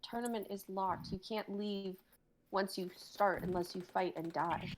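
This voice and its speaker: A woman's voice: mid-range voice, fairly even intonation, measured pace, slight background noise, lightly acted, low-quality recording. Breezy underworld guide read by a woman. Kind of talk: dramatic script reading.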